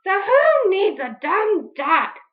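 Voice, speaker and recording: A woman voicing a greedy, bossy female witch who shrieks and shouts her demands, heard on a dull lo-fi recording. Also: very high voice, big pitch swings, slow pace, voice pushed up, heavily acted. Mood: angry.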